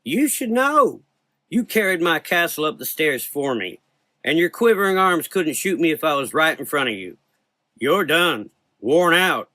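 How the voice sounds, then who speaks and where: A man plays a tough young woman in a gothic horror audio drama, mocking and commanding. The pitch is lifted and the tone is bright and forceful, recorded very dry. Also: very animated, measured pace, dry recording, heavily acted. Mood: mocking, threatening.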